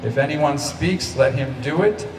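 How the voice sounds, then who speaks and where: An American man in his sixties, a pastor addressing an outdoor congregation and reading scripture in a measured, declamatory way through a handheld microphone. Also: on the high side, over music. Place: outdoor lawn service beside a church. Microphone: handheld microphone through speakers, picked up from afar. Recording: roomy, distant PA sound with clear noise.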